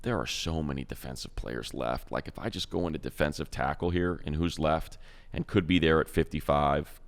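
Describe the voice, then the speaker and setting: A man with an extremely low, smooth and resonant voice talks football in a casual co-host chat. He rambles in an animated way, reels off long strings of player names and often restarts his sentences. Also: normal speed. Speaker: co-host, team radio play-by-play announcer.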